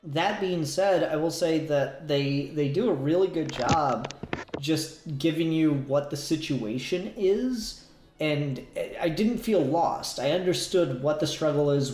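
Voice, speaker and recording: A man's voice: fairly high pitch, a little mellow. Man in an echoey room, chatting casually. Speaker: podcast co-host reviewing a fan audio drama. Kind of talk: casual review chat.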